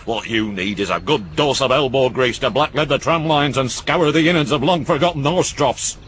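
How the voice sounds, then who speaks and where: A man's voice: mid-range voice, normal speed, fairly bright tone, slight background noise, pitched above the performer's own voice, close to an everyday voice. A man voicing a cantankerous old uncle who mocks and rants. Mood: ranting. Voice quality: gruff, rambling, scornful. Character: cantankerous old northern uncle, sharp-tongued and mocking.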